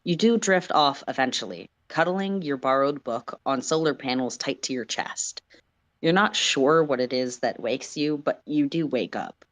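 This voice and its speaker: A woman's voice: a bass voice, normal speed. A woman narrating a story dramatically in the second person, as a livestream reader.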